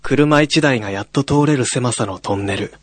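A man's voice: mid-range voice, normal speed, faint music behind, lightly acted. A man playing a young male college student who tells a ghost story in a radio drama. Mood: somber, reflective. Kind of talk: radio drama narration with acted dialogue.

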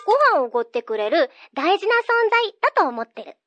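Actress as cheeky young female friend, very high voice, swooping intonation, flippant, mischievous, radio drama, music behind. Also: sing-song, fast talker. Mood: teasing. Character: playful, teasing young woman, cheeky best friend. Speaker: trainee voice actress. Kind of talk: radio drama short, conversational acting.

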